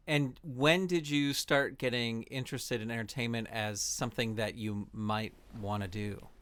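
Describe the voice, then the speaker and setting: A man's voice: mid-range voice, steady tone, normal speed, fairly bright tone, smooth. A man hosting a podcast interview, introducing and questioning a guest. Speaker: host and interviewer.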